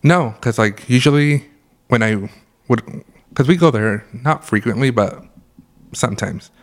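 A young man co-hosting a casual podcast, talking with a raspy just-woke-up voice and joking and laughing as he tells stories. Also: mid-range voice, a little slow. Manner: joking, laughs often, rambling storyteller. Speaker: podcast co-host. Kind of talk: casual chat.

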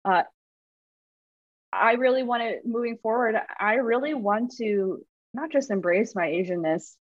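A woman's voice: a little high, measured pace, no echo. A woman talking as a podcast guest, thoughtful and earnest, often restarting her sentences. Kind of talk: reflective conversational chat. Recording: dull, dry sound.

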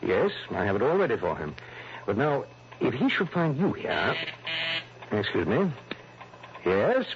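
Fussy nervous insurance boss, old radio show, very up and down voice, muffled with some hiss. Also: male voice, mid-range voice, wildly swooping pitch, unhurried, pauses often. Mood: flustered. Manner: formal, precise, flustered. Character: fussy, anxious male insurance office manager. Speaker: actor in a radio drama. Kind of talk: early 1960s radio drama acting.

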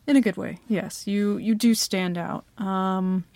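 A woman playing a slow, teasing bossy female CEO. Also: mid-range voice, fairly even intonation, no room sound. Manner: soft, hesitant with many fillers, teasing. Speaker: ASMR roleplay performer. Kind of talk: flirty ASMR roleplay.

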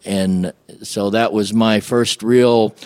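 An American man from Illinois speaking as a radio guest, telling personal stories in a casual, halting, folksy way. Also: on the low side, fairly even intonation, a little mellow, clean tone, no echo. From Illinois, United States.

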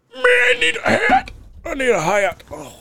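A man co-hosting a casual podcast, joking around in a put-on Russian accent with slow, deliberate phrasing. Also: very high voice, vocal fry, sharp tone, dry recording. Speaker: podcast co-host.

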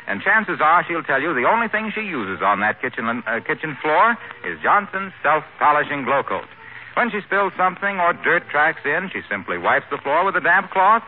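An American man reading a 1940s radio advertisement as an announcer, polished and persuasive. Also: a little high. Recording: tinny, faintly hissy 1940s radio broadcast.

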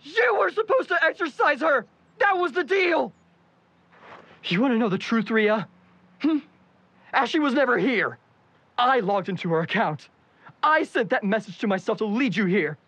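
Husky, bitter young man. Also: very high-pitched, expressive, normal speed, pauses often, bright, forceful tone, no echo, exaggerated voice. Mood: furious, bitter. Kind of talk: audio drama acting.

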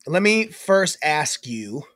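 Congested young man, lively, stuffed up. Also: a little high, slow, no room sound, studio quality. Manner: rambling, self-interrupting, animated. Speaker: podcast co-host. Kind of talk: casual chat.

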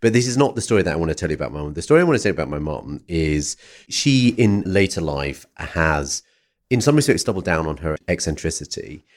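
A man hosting an interview, asking questions in a relaxed way and laughing easily. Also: extremely low pitch, fast talker. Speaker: interview host. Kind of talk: casual interview chat.

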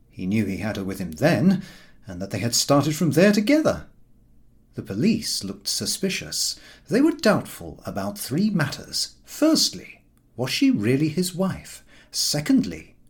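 A male audiobook reader narrates a comic novel in a mid-pitched voice. His intonation swings extremely widely, giving the storytelling an expressive, theatrical feel.

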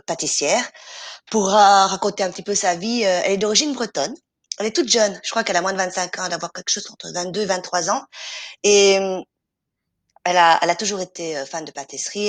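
A woman co-hosting a podcast, chatting casually with a stuffy cold and many hesitant fillers. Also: mid-range voice. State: has a cold. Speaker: podcast co-host. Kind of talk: casual chat.

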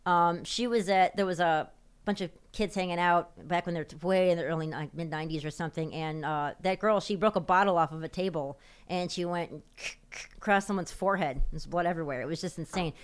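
A woman's voice: fairly low pitch, brisk, clear, resonant tone. Chatty woman from Chicago. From south side of Chicago, USA. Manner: chatty, laughs easily. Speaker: podcast guest. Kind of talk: casual conversation.

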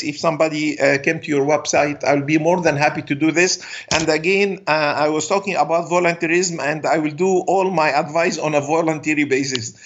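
A middle-aged or older man, a native Arabic speaker with an Arabic accent, giving advice in an interview in a halting way full of hesitations. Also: fairly high pitch, gravelly, a bit bright, lo-fi sound. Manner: halting, hesitant delivery with frequent long fillers. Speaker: guest, sports business consultant.

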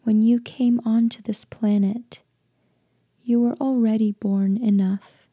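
Female meditation guide, soft half-whisper, very warm and relaxed tone, gentle slow delivery, close dry sound. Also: on the high side, slightly rough, no echo. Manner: gentle, hushed, deliberate with long pauses.

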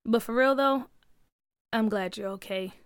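Woman as cocky teasing boy, bright voice. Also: on the high side, expressive, moderate pace, smooth, no echo, clean studio sound. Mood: gruffly sincere. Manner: slangy, swaggering. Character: cocky teasing boy ringleader with a hidden heart. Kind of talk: acted children's story dialogue.

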